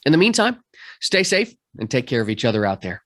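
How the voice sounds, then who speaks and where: A man's voice: mid-range voice, sing-song, a little fast, a bit bright, no echo, clean studio sound. A man hosting a podcast, talking frankly in casual conversation and reading a scripted outro. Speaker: podcast host.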